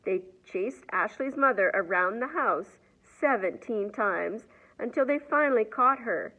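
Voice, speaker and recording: A woman reading a children's picture book aloud in an expressive storyteller's manner. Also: a little high, a little slow, highs cut off. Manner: expressive, asks the young listeners questions.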